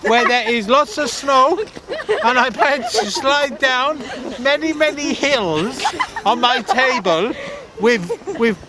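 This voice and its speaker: A man doing a giggly high-voiced Norwegian braggart. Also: slow pace. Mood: boastful. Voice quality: giggly, broken English, put-on foreign accent. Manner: boastful and earnest. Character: giggly Norwegian man, eager would-be tobogganist. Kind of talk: improvised comedy sketch. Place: outdoors on a snowy slope. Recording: noisy outdoor recording, traffic nearby.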